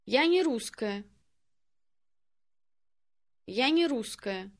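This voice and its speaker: A woman voicing model phrases in a language lesson, pronouncing each slowly and deliberately for repetition. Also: a little high, animated. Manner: deliberate, clear pronunciation for repetition. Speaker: native speaker model in language course. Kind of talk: slow, careful model phrases.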